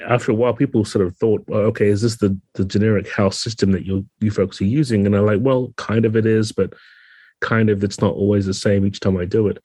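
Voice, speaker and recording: A man's voice: on the low side, steady tone, fairly quick, warm tone, husky, no echo. A man speaking as a guest game designer in a podcast interview, explaining things in a conversational way.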